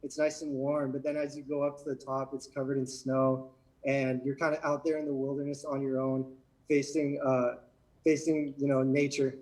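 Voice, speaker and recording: A young man, a graduate finalist, answering interview questions in a relaxed, easygoing way. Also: mid-range voice, moderate pace, recorded from a distance, low-quality recording.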